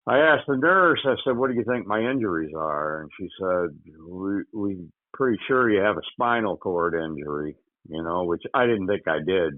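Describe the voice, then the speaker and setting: A man from Michigan, an interview guest, recounting a hunting accident in casual, halting speech with frequent pauses. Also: on the low side, no room sound. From Michigan, USA. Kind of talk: casual spoken recollection. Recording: tinny phone line, dry.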